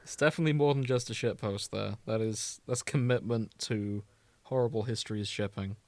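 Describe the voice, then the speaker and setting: A man's voice: fairly low pitch, brisk, darkish tone, no room sound. A man co-hosting a podcast, talking informally and conversationally about fandom. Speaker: podcast co-host. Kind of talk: casual chat about fandom.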